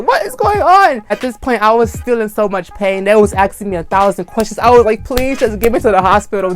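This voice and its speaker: A young man with a high-pitched voice telling a dramatic personal story, acting out screams and quoting people as he goes. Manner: animated, acts out screams and quotes. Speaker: storytime vlogger. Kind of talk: casual storytime.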